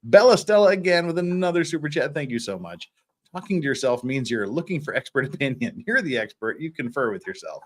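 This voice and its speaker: A man's voice: medium pitch, very animated, fairly quick, slight background noise. A man hosting a casual livestream, joking with viewers and playfully teasing them. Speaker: livestream host. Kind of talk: casual livestream chat, thanking viewers and reading comments.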